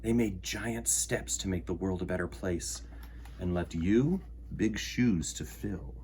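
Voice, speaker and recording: Male storytime host, lowish voice, measured children's book read-aloud, faint noise behind. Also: dark tone.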